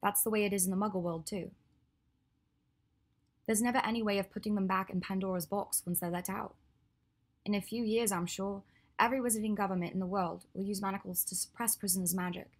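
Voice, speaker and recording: A woman reading the part of an earnest, clever young witch, her voice a little raised and husky. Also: mid-range voice, steady tone, brisk, lightly acted. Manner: earnest, then sulky and teasing.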